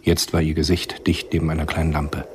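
An actor playing the grave narrator of a radio spy thriller, telling the story in a sober, measured way. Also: male voice, a bass voice, completely flat delivery, background noise. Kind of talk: scripted literary narration in a radio play.

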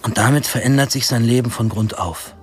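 A man's voice: mid-range voice, fairly quick, over music, lightly acted. An actor narrating a radio drama as a wry young man looking back on his troubled teens, with a dry, ironic manner. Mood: wry, reflective.

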